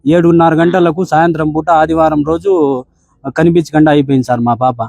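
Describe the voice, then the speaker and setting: Male, deferential and earnest, relaxed tone, dry close sound, addressing an official by phone. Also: fairly high pitch, fairly quick, creaky, dry recording. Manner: deferential, often saying sir. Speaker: bereaved father speaking to an official. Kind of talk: earnest account on a phone call.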